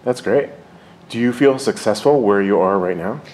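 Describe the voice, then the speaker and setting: A man with a somewhat low voice hosts an interview. He asks short, friendly questions in an upbeat, encouraging way. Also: moderate pace, darkish tone, a little hiss or hum, rough recording.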